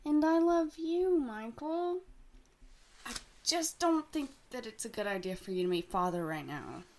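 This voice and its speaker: An actress playing a devoted but secretive young fiancée, speaking gently and evasively. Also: female voice, a little high, expressive, unhurried. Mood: hesitant. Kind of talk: audio drama acting.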